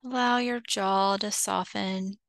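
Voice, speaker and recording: A woman leading a guided visualization as a meditation teacher, soothing and unhurried, leaving long pauses between short phrases. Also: mid-range voice, fairly even intonation, gravelly, fairly bright tone, no echo.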